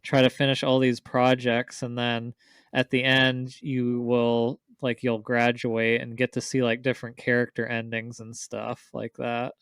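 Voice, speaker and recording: A man's voice: mid-range voice, monotone, unhurried, a bit bright, clean tone, dry recording. A man chatting casually as a podcast panelist, in an informal, conversational way. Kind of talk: casual chat.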